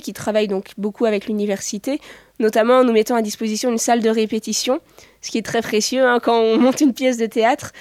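A woman's voice: fairly high pitch, a little mellow, smooth, no room sound. A young woman, a student researcher, giving spontaneous, hesitant explanatory answers in an interview.

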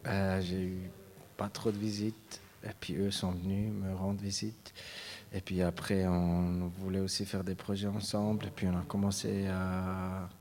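A man, a musician answering interview questions in a halting way. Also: deep voice, flat delivery, a little mellow. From Switzerland. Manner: halting, with many fillers and restarts.

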